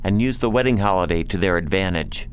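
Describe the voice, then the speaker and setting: A man's voice: sits low, fast talker, slight background noise, natural voice. An actor playing a nobleman's loyal friend, earnestly explaining bad news in a science fiction audio drama.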